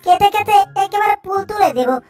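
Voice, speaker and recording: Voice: very high voice, lively intonation, fast, a little mellow, faint music behind. A performer voicing a greedy, childish baby crocodile in a cartoon dub, playful and chanting about food. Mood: ravenous, gleeful. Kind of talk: Bengali cartoon dub acting.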